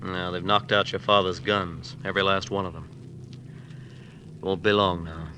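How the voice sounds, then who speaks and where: A man's voice: deep voice, steady tone, moderate pace, sharp tone, slight background noise. An actor playing a bewildered young man who narrates his own peril in a 1940s radio drama. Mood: weary. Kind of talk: 1940s radio drama acting, first-person narration and dialogue. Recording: vintage 1940s radio broadcast, music underneath.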